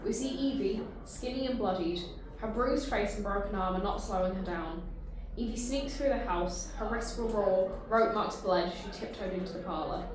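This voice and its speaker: A woman's voice: mid-range voice, moderate pace, very echoey, background noise. A woman reading narration and stage directions at a table read.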